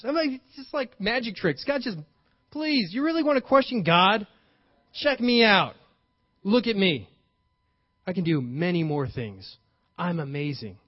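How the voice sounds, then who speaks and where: A young man preaching with a high, bright-edged voice, pushing into sudden bursts of emphasis and playful acted-out lines. The recording is dry and a little dull, as if captured without much room sound on modest gear. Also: no room sound. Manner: animated, acts out paraphrased dialogue with playful emphasis. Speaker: pastor. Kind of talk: sermon.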